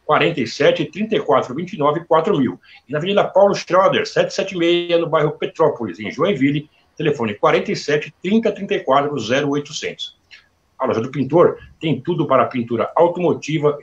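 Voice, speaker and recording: A man's voice: fairly high pitch, lively intonation, brisk, muffled recording. A man hosting a live online interview, chatting freely and reading out sponsor plugs before his questions. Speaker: interview host. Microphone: video call.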